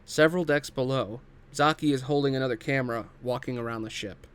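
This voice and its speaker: A man's voice: medium pitch, fairly quick, smooth. A man narrating scripted stage directions at a table read.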